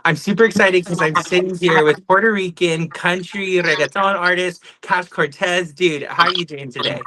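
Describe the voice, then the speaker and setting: A man's voice: sits high, normal speed, noisy background. A man hosting a podcast, chatting enthusiastically with his guests. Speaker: podcast host. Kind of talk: enthusiastic interview chat.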